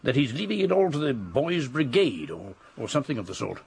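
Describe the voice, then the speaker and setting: A brisk English police colonel in a radio drama. He is clipped and hearty, with a very husky voice and a wide, lively swing of pitch. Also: heavily acted. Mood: brisk, wry.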